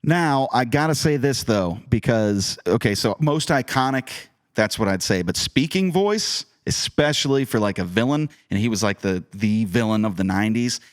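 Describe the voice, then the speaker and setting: Man chatting with very lively pitch. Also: normal speed, hardly any pauses. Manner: emphatic, repeats himself for effect. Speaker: podcast guest, voice actor. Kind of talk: casual chat.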